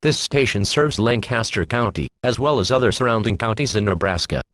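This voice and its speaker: A man reading scripted emergency alerts and weather bulletins as a radio announcer, in a flat, official, impersonal manner. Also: fairly low pitch, a little fast, dry recording, studio quality.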